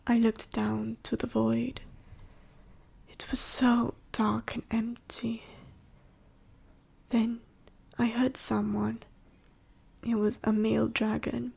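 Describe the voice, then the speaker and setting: A woman playing an ancient, dying female dragon in an ASMR roleplay, telling a sorrowful life story slowly and haltingly in a breathy, raspy whisper. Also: fairly high pitch, level tone, a little mellow, exaggerated voice. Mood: somber, reminiscing. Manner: halting, slow, solemn storytelling. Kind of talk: ASMR audio roleplay monologue to the listener.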